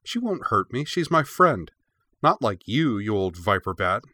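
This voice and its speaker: A man voicing a young girl in a story, gentle with animals and fiercely defiant when crossed. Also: lowish voice, very animated, a little slow, no room sound, exaggerated voice. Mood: scornful, defiant. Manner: soft reassuring coaxing, scornful when crossed.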